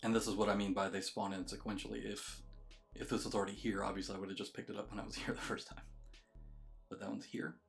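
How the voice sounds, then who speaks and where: A man's voice: lowish voice, flat delivery, fast talker, gravelly, faint music behind. A man giving a casual, matter-of-fact video game walkthrough, explaining things step by step. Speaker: game guide creator.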